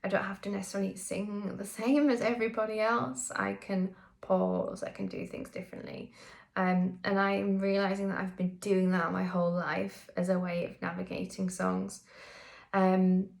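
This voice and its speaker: A woman's voice: medium pitch, level tone, moderate pace, creaky. A woman singer and vocal coach from the UK talking candidly in a casual vlog, with frequent ums and self-corrections.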